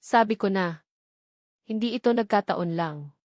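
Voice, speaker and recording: A woman's voice: medium pitch, measured pace, a little mellow, no echo, lightly acted. Brooding rich businessman and wounded ex-lover, Filipino accent, read by a female narrator, audiobook romance, dry sound. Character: brooding wealthy male CEO, wounded former lover.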